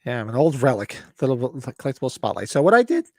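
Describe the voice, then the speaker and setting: A man hosting a casual podcast chat over beers, hoarse and scratchy-throated, rambling and cursing as he talks. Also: mid-range voice, very animated, fast talker, no echo, studio quality. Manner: rambling, cursing, self-interrupting. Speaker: podcast host.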